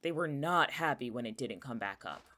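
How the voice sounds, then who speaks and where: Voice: mid-range voice, animated, moderate pace, pushed and bright. An actor in a naturalistic audio drama playing an exasperated, protective young person with a casual, sardonic, blunt way of talking. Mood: wry, casual. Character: exasperated, protective young person who knows the town's dangers.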